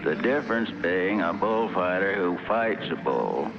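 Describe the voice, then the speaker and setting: A man giving opinionated interview commentary in a deliberate, pointed way. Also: sits low, animated. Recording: dull archival sound.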